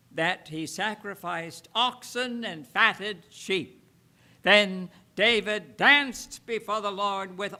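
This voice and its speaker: A man with a high, bright and forceful voice reads from the Bible aloud. His delivery is slow and solemn, with emphatic phrasing broken by frequent pauses. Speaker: Bible reader. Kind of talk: solemn scripture reading aloud.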